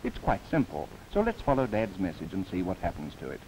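A man narrates in a lively, rising-and-falling newsreel manner, his voice sitting fairly low. He slips in wry, playful asides. The sound comes from an old film soundtrack with a faint hiss underneath. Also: fairly quick, vocal fry, rough recording. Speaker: documentary narrator.

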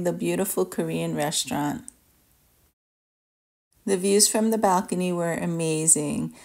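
Woman, travel vlogger, lowish pitch, gravelly fry, casual voice-over review.

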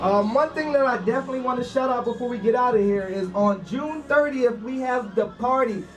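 A young American man talks casually as a radio co-host. He is picked up a little way from the microphone, and music plays clearly behind him. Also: very high-pitched, a little fast, distant mic, low-quality recording. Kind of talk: casual chat. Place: small indoor studio.

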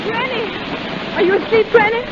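A woman's voice: extremely high pitch, moderate pace. A woman playing a frightened, fragile young woman in a 1930s radio drama, hesitant and dramatic. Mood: frightened, dazed. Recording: muffled, noisy 1930s radio recording.

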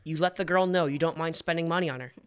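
A man's voice: a little high, fast, smooth, lightly acted. A teenage male actor playing a boastful, easily annoyed older brother in old-time radio comedy style. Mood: superior. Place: school library. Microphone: standing stage microphone.

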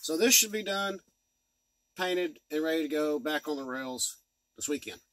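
Folksy man, higher-pitched, rambling casually. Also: animated, moderate pace, fairly bright tone. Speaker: model train hobbyist and video host. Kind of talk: casual vlog update. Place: inside a truck cab. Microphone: phone or camera at arm's length.

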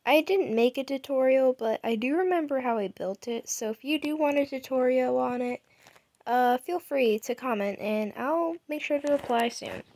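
A woman's voice: high-pitched, moderate pace, darkish tone, no echo. A woman giving a chatty, rambling tour of her game house, narrating over her screen as a gaming YouTuber. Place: home setup.

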